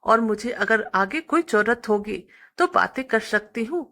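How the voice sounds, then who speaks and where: A woman's voice: a little high, animated, measured pace, pushed and bright. A woman voicing a young married woman customer in a story, polite, friendly and coaxingly insistent. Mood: friendly, admiring. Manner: polite, persuasive.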